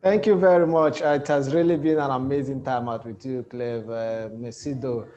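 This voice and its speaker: A man hosting an interview, polite and somewhat halting in how he puts his questions and thanks. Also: mid-range voice, fairly even intonation, warm tone, dull audio. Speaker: interviewer, host.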